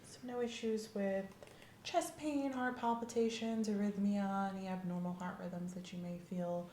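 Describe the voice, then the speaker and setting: A woman's voice: medium pitch, steady tone, moderate pace, mellow, reverberant, a little hiss or hum. A woman doing an ASMR medical exam roleplay as an examiner, methodical and professional in the way she questions a patient.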